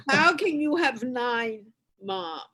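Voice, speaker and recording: A woman hosting a casual live online interview with a halting delivery. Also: sits high, lively intonation, bright, forceful tone. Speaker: host of online interview show.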